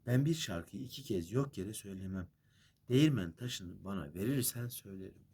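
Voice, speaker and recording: A man's voice: lowish voice, animated, brisk, warm tone, breathy edge. A man voicing a proud magical bird from a fairy tale, sing-song in verse and firm in bargaining.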